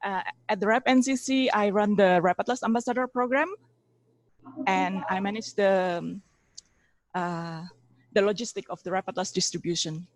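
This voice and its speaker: A woman introducing herself and her work at a conference, hesitant, with many fillers, trailing off quietly at the end. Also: medium pitch, fairly even intonation, moderate pace. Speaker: program manager presenting.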